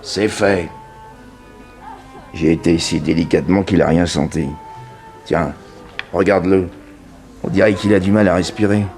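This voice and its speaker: Gravelly, dim crook sidekick. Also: male voice, deep voice, fairly even intonation, a little slow, pauses often, a little mellow, faint music behind. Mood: obedient. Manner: droll, slangy, echoing his boss. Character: dim, obliging crook sidekick, comically slow-witted. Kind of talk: early 1960s French radio serial acting.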